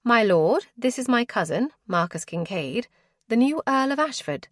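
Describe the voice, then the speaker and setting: An eager young gentlewoman, played by a woman, brisk and cheerful. Her voice sits raised and lively. It is close and dry. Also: fairly high pitch, no room sound, pitched above the performer's own voice.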